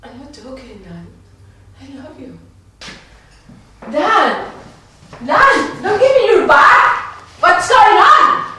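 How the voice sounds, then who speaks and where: A woman's voice: high voice, moderate pace, lightly acted. An actress playing a young woman who admits she is pregnant by another man and begs her partner to forgive her, with nervous laughs. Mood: upset shouting. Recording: echoey room, distant mic, noisy.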